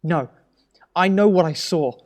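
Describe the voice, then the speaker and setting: A man's voice: sits high, unhurried, darkish tone. A man playing an anxious, stubborn younger brother and worried husband in a naturalistic audio drama, hesitant and earnest. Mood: insistent.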